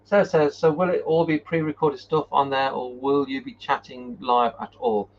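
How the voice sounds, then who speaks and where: A man hosts an informal live chat, reading out comments in a halting, everyday way. His voice sits at a middling pitch. The audio is dull, with faint noise behind it. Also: fairly even intonation. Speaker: host of a paranormal live chat. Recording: muffled, noisy live-stream audio.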